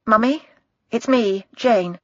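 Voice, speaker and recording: A woman's voice: fairly high pitch, slow pace, darkish tone, no room sound, voice pushed up. A woman voicing a young policewoman who answers briefly and politely, in a slightly dull, dry recording. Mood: reserved.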